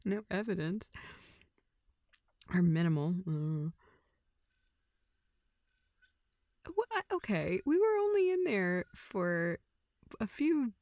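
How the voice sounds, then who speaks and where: Girl acting shy affectionate young woman, intimate roleplay audio, breathy n soft, slow hesitant stammery talk, super close dry sound. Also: medium pitch, big pitch swings, no room sound. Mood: flustered, playful. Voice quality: soft, breathy, often whispering. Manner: hesitant, halting, with nervous stammers.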